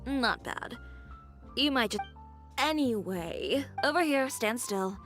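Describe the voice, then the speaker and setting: A woman's voice: fairly high pitch, lively intonation, takes it slowly. A woman playing a confident, ambitious young fashion designer in a video game, composed and matter-of-fact, with music behind.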